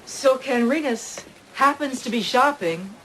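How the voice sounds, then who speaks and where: A businesslike female police official in an audio crime drama. Her fairly high voice is crisp and inquisitive, with faint ambient noise behind it. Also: animated, measured pace, fairly bright tone, poor audio. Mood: questioning. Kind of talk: radio crime drama acting.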